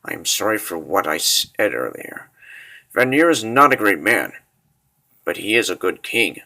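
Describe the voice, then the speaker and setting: A man voicing a gentle, protective war golem in a fantasy comic dub, speaking in a formal, deliberate and grave way. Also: lowish voice, sing-song, creaky, full character voice. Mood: gentle, solemn.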